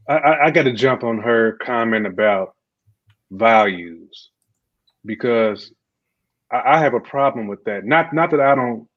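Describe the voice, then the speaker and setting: A man's voice: mid-range voice, a bit bright, muffled recording. A man on a podcast panel giving opinions in a casual, colloquial way.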